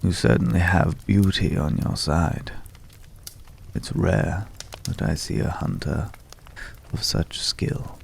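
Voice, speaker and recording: A man playing a courteous, world-weary former vampire hunter in an intimate ASMR roleplay, speaking in a measured, hushed way with polite, hesitant pauses. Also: sits low, expressive, creaky, a little hiss or hum, exaggerated voice. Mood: intrigued, wistful.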